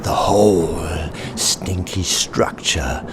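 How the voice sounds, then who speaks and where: A man reading a story as its author, theatrically, with dramatic drawn-out phrasing that drops into hushed whispers. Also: deep voice, expressive, background noise.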